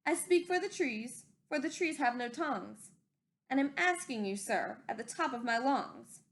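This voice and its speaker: Storybook creature, oldish little male, bossy and indignant, loud sharp emphasis, raised pitch played by a female reader. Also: lively intonation, moderate pace. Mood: indignant, scolding.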